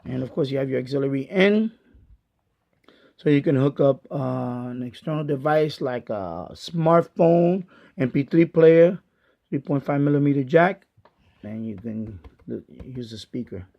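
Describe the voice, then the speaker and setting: A man giving a casual hands-on product review in a halting way, repeating himself as he goes. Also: medium pitch, animated, vocal fry. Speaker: gadget reviewer and radio hobbyist. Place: basement of a house. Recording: dull lo-fi home video sound.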